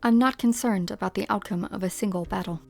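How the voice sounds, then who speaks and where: A woman voices a composed field operative in a game fan dub. Her speech is quick and creaky, brisk and businesslike, over soft music. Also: mid-range voice. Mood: focused. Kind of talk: amateur fan dub acting.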